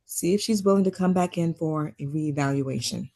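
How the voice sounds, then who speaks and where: Woman acting a low-voiced, composed weary therapist. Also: moderate pace, dark tone. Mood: resolute. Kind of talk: naturalistic table read acting.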